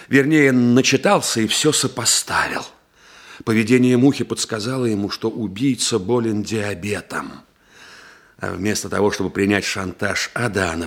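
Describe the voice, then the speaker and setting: An actor playing an astute, composed doctor-detective in a Russian radio play, speaking in a controlled, deliberate, accusing way. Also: male voice, fairly low pitch, gravelly, fairly bright tone, breathy edge, heavily acted. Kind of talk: measured theatrical Russian radio drama acting.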